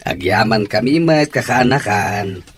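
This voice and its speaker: A gentle, fatherly middle-aged uncle. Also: lowish voice, lively intonation, measured pace, a little hiss or hum. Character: middle-aged uncle, gentle and worried about his niece. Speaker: radio drama actor.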